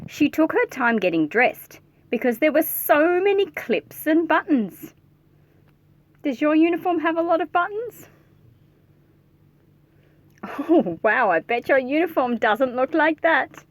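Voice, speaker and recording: A woman with a fairly high, very lively voice reads a storybook to children. Her delivery is playful and expressive, slipping between narration and chatty remarks to the listeners. The sound is dry and close, with little room in it. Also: measured pace, long pauses, dry recording. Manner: animated, playful, addressing young listeners directly. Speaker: read-aloud host reading a picture book to children.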